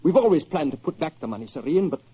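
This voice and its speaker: An actor playing a flustered, guilty young family lawyer with a husky voice and defensive manner in a 1940s radio drama. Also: male voice, on the high side, sing-song. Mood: confessing. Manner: hurried, defensive. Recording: dull old 1940s radio recording.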